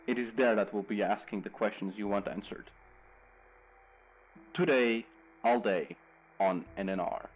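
A grave radio news anchor, played by a man with a fairly low voice. He delivers scripted bulletins with measured urgency. The sound is slightly muffled, like an old radio broadcast. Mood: grave, urgent.

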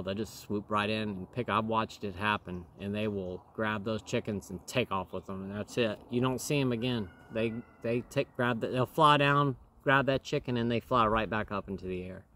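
A man in his thirties with a lowish voice, talking to the camera in a wooded yard with faint outdoor noise behind him. He sounds a little hoarse and clears his throat now and then. He rambles earnestly, with lots of fillers. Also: fairly bright tone. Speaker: vlogger. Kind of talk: casual talk to camera. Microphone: camera in front at close range.

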